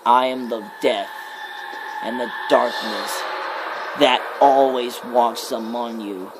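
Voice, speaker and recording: A man voicing a menacing demon of fear, death and darkness in a slow, ominous ASMR horror monologue with deliberate pauses. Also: mid-range voice, fairly even intonation, noisy background, close to an everyday voice.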